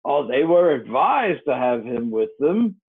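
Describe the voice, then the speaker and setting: A man doing the Mock Turtle, slow and mournful. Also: medium pitch, sing-song, husky. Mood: offended. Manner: drawling, slow and weary. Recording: tinny phone-like lo-fi audio.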